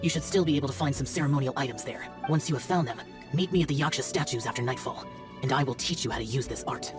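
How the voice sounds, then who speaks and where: A man's voice: mid-range voice, fast talker, music in the background, natural voice. A man playing a stern, aloof young demon-slaying immortal in a video game, speaking curtly and formally. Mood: solemn, instructive. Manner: curt, formal, archaic phrasing. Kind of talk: video game character acting.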